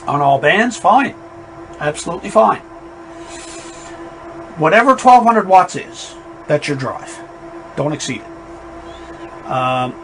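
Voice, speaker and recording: A man with a fairly high, not boomy voice, casually reviewing a radio amplifier, rambling and emphatic. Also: low-quality recording. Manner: rambling, emphatic warnings, restarts. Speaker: ham radio operator reviewing gear. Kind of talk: casual spoken equipment review. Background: amplifier fan noise in background.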